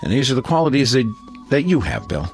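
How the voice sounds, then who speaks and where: An American actor playing a genial middle-aged neighbor giving a folksy, hesitant, sentimental toast in a radio drama. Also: male voice, medium pitch, big pitch swings, fast, soft background music, close to an everyday voice. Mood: warm sentimental. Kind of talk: radio drama acting, party speech.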